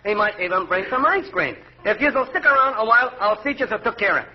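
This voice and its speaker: High-voiced, slangy young soda clerk. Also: male voice, animated, a little fast. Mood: pompous explaining. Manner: mangles words and spells them out, pseudo-formal. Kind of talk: 1940s radio comedy acting. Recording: noisy, dull old radio recording with clicks.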